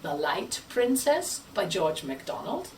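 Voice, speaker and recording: A woman giving a chatty, playful presentation in an animated way. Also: mid-range voice, measured pace, far from the mic, slight background noise. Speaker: theatre group member presenting its history.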